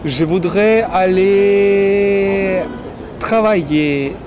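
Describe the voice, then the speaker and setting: A young man in his twenties from Latvia, a native Russian speaker, answering interview questions haltingly with many pauses and fillers. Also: sits high, crowd noise. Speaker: recent graduate. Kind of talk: documentary interview. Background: background music.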